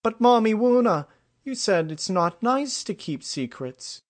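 A small, innocent pony toddler acted out by a man for a storybook. The voice jumps very high, with babyish speech, soft r sounds turned to w, and a caring, simple manner. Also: big pitch swings, a little slow. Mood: puzzled.

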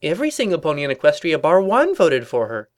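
A performer voicing a bubbly, excitable young female cartoon pony with gushing, exaggerated chatter in a story reading. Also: mid-range voice, fast, pitched above the performer's own voice. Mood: puzzled, chatty.

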